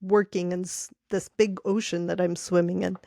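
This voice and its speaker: A woman podcast host with a low, mellow, warm voice, recorded dry and close. She encourages her guest with steady affirmations and open, big-picture questions. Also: moderate pace, gravelly, no echo. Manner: encouraging, frequent affirming backchannels. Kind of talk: interview hosting.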